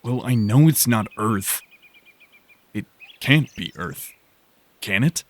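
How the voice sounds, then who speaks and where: A man's voice: medium pitch, slow. A man playing an inquisitive lost explorer in a sci-fi audio drama, speaking informally with emphatic questions. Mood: frustrated. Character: John, frustrated, inquisitive lost male explorer.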